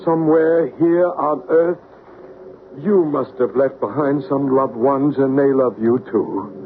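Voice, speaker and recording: An actor playing a calm man who leads a seance and narrates the story, in a 1970s radio drama. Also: mid-range voice, a little hiss or hum, natural voice. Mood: solemn. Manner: solemn, measured, hushed. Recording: tinny 1970s radio broadcast with faint music.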